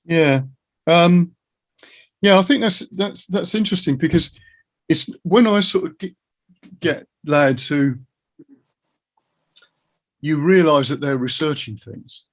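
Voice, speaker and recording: A middle-aged man with a husky, gravelly voice talking earnestly and reflectively in a casual interview, offering his opinions. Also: fairly high pitch, takes it slowly, long pauses.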